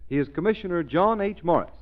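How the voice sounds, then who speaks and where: Brisk old-time radio announcer, a man. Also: high voice, lively intonation. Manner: brisk, polished, formal. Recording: tinny 1930s radio broadcast.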